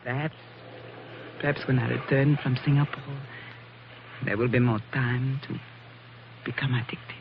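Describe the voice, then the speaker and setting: A woman's voice: a bass voice, fairly even intonation, measured pace, pauses often. An actress playing a languid, seductive worldly woman in a 1940s radio spy drama, speaking intimately with lingering hesitations. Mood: intimate, wistful. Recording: muffled, noisy 1940s radio recording.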